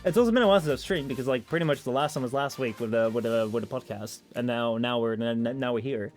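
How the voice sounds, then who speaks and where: A man's voice: mid-range voice, fast, soft background music. A young man with a Dutch accent chatting casually on his stream, rambling with lots of fillers and swearing. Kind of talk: casual stream chat, reading out chat messages.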